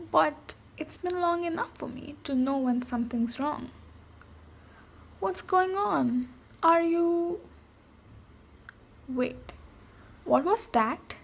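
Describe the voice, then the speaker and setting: A woman playing a boisterous, protective female ogre warrior in an ASMR roleplay, speaking deliberately with pauses between phrases. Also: high-pitched, heavily acted. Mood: concerned. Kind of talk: ASMR roleplay, storytelling and direct address.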